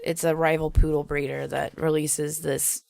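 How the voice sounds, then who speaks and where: Low, creaky-voiced woman bantering offhandedly. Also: flat delivery, normal speed, a little mellow, no room sound. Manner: offhand, slangy, some swearing. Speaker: podcast co-host. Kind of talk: casual comedic banter.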